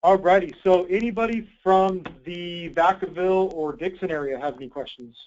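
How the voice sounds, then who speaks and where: A man's voice: high-pitched, moderate pace, darkish tone, slight background noise. A man hosting a conference call in an informal, obliging way, explaining things and taking questions over a muffled line. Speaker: host, grant program coordinator.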